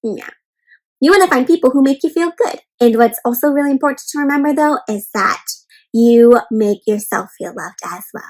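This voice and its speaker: Woman, high voice, children's read-aloud host, encouraging, reassuring, interactive, simple explanations. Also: lively intonation, moderate pace, low-quality recording. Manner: addresses children directly, with check-in questions and simple explanations.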